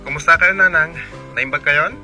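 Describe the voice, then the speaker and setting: A man's voice: on the high side, normal speed, bright, forceful tone, soft background music. A man playing an eager, insistent grown son in a radio drama, with a Filipino Ilocano accent. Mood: brisk, bossy.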